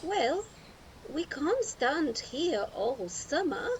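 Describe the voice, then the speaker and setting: Woman playing a brisk older country grandma, lively swoops. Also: sits high, background noise, voice pushed up, full character voice. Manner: brisk, bossy, folksy commands. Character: brisk, wry country grandma, self-styled river rat.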